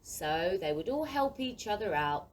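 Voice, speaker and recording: A woman's voice: medium pitch, sing-song, measured pace. A woman narrating a children's audio play as a theatrical storyteller. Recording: distant mic, faint room noise.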